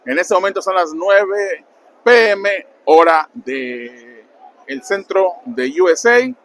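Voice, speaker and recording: A man's voice: high-pitched, expressive, unhurried, a bit bright. A man from Mexico with a thick Mexican accent, interviewing fans at a convention in a friendly, stammering way. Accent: Mexican Spanish. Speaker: interviewer and channel host. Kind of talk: casual fan interviews at a convention. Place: busy convention hall.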